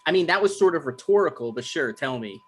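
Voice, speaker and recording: A man's voice: on the high side, lively intonation, fast talker, a bit bright, breathy edge. A man playing an anxious, apologetic man who gets defensive, stammering naturally as in a live drama table read. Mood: uneasy.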